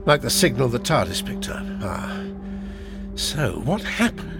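An actor playing an inquisitive, humane time traveller in an audio drama, expressive and thoughtful, full of questions. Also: male voice, mid-range voice, measured pace, gravelly, loud music behind the voice. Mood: curious.

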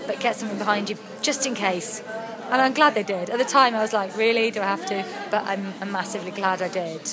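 A young Welsh woman, an actress and writer, chatting freely in an interview with lots of ums. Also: mid-range voice. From Wales. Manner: chatty, animated storytelling with frequent ums. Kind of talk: casual interview chat.

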